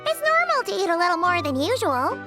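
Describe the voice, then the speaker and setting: Game sidekick: tiny cheeky fairy, extremely high, squeaky, swooping childlike delivery, loud music behind. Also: female voice, big pitch swings, a little fast. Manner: squeaky, refers to herself in third person. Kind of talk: video game cartoonish voice acting.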